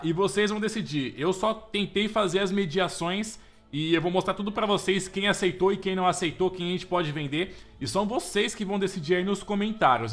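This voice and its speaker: A Brazilian man talks through his football game in a loose, slangy way, with his voice sitting high and ringing bright. He keeps up a casual let's-play chatter and turns loud when hyped. Faint game music plays in the background. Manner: slangy, chatty, keeps saying "mano" and "velho"; gets loud and excited over the crowd. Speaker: gameplay commentator.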